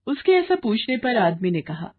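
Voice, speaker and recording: Lady narrating a kids moral story, highish voice, slightly muffled sound. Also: sing-song, normal speed.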